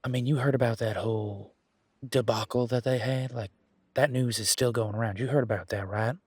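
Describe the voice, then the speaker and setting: A man playing a laid-back, wry werewolf in an intimate one-sided audio roleplay, talking in a casual, folksy, teasing way with a husky voice. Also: medium pitch, fairly even intonation, fairly quick, no echo, lightly acted. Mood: gossipy, wry.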